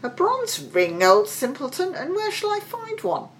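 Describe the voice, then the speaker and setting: A woman's voice: very high voice, steady tone, normal speed, warm tone, voice pushed up. A woman voicing a haughty young female servant in a storybook reading, scornful and questioning.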